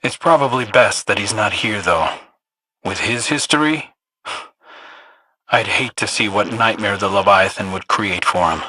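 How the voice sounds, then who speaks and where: A man's voice: fairly low pitch, a little slow, sharp tone, husky, no echo, heavily acted, poor audio. An actor playing a wry, alert male scouting companion in a video game, speaking expressively and matter-of-factly. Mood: troubled. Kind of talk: scripted video game character dialogue.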